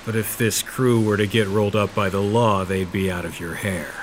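A man as a low-voiced, laconic tough investigator. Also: normal speed, slight background noise, natural voice. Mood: negotiating. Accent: American. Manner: laconic, understated, deadpan. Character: big, tough, laconic ex-convict private investigator, calm and dry. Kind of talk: hardboiled period audio drama acting.

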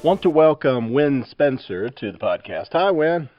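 A man's voice: medium pitch, sing-song, measured pace, lo-fi sound, faint music behind. A man hosting a podcast interview, introducing and questioning a guest. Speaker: podcast host.

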